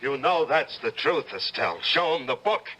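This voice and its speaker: An actor playing a stern, overwrought judge on the edge of madness in an old-time radio drama, declaiming theatrically. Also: male voice, medium pitch, big pitch swings, normal speed, sharp tone. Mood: frenzied, confessing.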